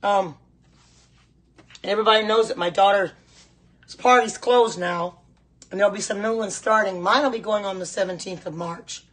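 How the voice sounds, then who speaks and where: A middle-aged woman with a hoarse voice, chatting casually and repeating herself as she hosts a live cooking demonstration. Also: medium pitch, moderate pace, pauses often, fairly bright tone. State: hoarse voice, clearing throat at times. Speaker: host of a live home cooking stream. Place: home kitchen.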